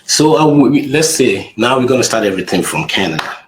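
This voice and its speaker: A man's voice: medium pitch, sing-song, brisk, slight background noise, poor audio. A man hosting an interview, asking questions in a friendly, rambling way with many restarts. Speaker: host.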